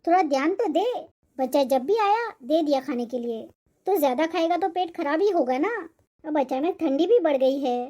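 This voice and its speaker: A woman's voice: high voice, animated, normal speed, warm tone. A woman voicing a nagging older mother-in-law in a cartoon family drama, with a complaining manner. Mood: scolding. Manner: scolding, complaining.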